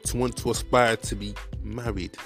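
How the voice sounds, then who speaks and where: An earnest young man talks straight to his listeners about faith, repeating himself for emphasis. He leans on casual fillers as he encourages and preaches. Faint music plays quietly under his voice. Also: fairly low pitch, flat delivery, normal speed, vocal fry. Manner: heavy fillers like "like" and "you know what I mean". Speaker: podcast host. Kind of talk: casual faith talk to viewers.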